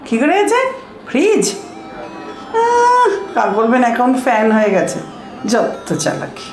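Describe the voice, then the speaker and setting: A woman's voice: high-pitched, sing-song, measured pace, a little mellow. A woman acting in an audio comedy as a muddled, stubborn bank customer, stammering and repeating words. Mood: indignant. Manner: flustered, stammering, repetitive. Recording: roomy echo, faint music.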